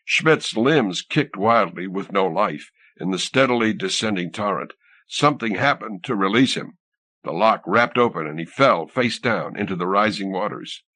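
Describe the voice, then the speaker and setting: A man's voice: lowish voice, sharp tone, no room sound. A man narrating fiction as an audiobook reader, in a dramatic scripted style.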